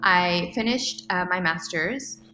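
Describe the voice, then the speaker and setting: A woman's voice: lowish voice, slow pace, bright, forceful tone, slight background noise. A young adult woman, a podcast guest, chatting in an upbeat, rambling way with fillers. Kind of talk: casual interview answers. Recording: roomy echo with faint music.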